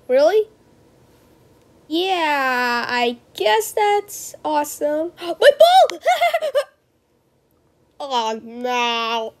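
A woman performing homemade character lines as an amateur voice actor, theatrical, with gasps and drawn-out exclamations. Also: high voice. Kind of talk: homemade character voice lines, animated movie remake.

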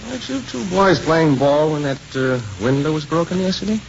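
Casual wry priest, gently probing, 1950s radio play, muffled recording, faint noise. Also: male voice, fairly high pitch, expressive, brisk, creaky. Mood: friendly.

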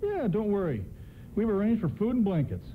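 Helpful station attendant, male, slightly high voice, animated, narrow radio sound. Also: normal speed. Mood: courteous, firm. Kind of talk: radio play acting. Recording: muffled, hissy AM radio broadcast.

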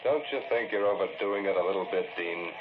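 A man acts a stern, impatient lawman in an old radio play. He has a low voice and scolds bluntly, full of skepticism. The sound is muffled, with clear noise from a vintage recording. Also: fairly quick, close to an everyday voice. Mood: scolding. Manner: blunt, reproachful. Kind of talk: 1940s radio drama acting.

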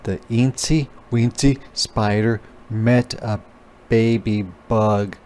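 A man reading a children's storybook aloud as a teacher, pausing to explain words. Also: on the low side, steady tone, a little mellow, a little hiss or hum.

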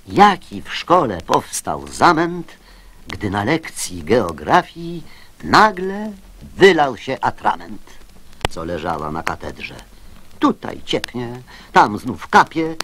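A man's voice: medium pitch, fairly bright tone, slight background noise. Man reciting verse with sweeping intonation. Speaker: reciter of children's poems. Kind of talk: theatrical verse narration, radio drama.

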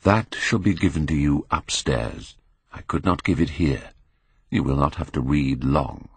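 A man acting a cold, mocking young gentleman, husky. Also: a bass voice, animated, a little slow, dry recording, pitched below the performer's own voice, full character voice. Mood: quiet, controlled. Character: proud, contemptuous young Victorian gentleman, bitter and mocking.

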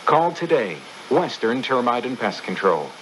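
A man's voice: medium pitch, big pitch swings, measured pace, slight background noise, low-quality recording. A man reading a scripted radio advertisement as an announcer. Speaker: commercial announcer.

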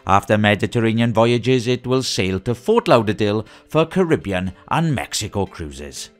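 Lively low-voiced man reading cruise news. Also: normal speed. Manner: lively, sing-song delivery with rising greetings. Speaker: news presenter. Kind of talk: scripted news roundup.

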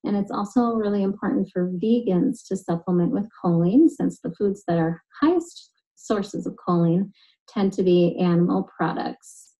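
A woman nutritionist explaining a health topic in a clear, steady, scripted way. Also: mid-range voice, moderate pace, poor audio. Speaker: nutritionist and genetic specialist.

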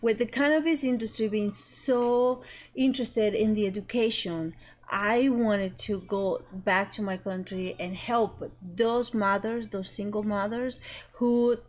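A woman's voice: mid-range voice, a little mellow. Young woman, careful speech. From Ecuador. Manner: careful, halting, searching for words. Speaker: guest entrepreneur. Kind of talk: casual chat. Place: small studio with green-screen set.

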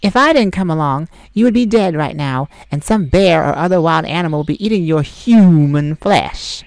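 A woman's voice: low voice, animated, normal speed, a little mellow, no echo. A woman voicing a sassy, snarky male forest fae in an ASMR roleplay, teasing and blunt, recorded on a close mic.

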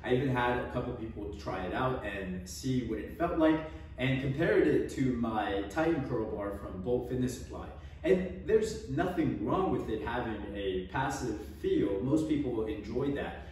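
A man's voice: medium pitch, animated, moderate pace, darkish tone, slight background noise. Twenties male fitness vlogger, offhand and jokey, recorded at a distance in a reverberant garage. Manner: joking, offhand, trails off with small laughs. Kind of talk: casual equipment review. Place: home garage gym. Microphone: camera across the room. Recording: echoey garage, voice off-mic.

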